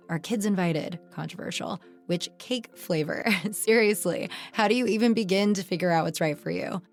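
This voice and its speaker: Lowish-voiced woman reading a conversational ad. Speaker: advertisement announcer. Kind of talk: conversational scripted ad read. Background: faint music bed.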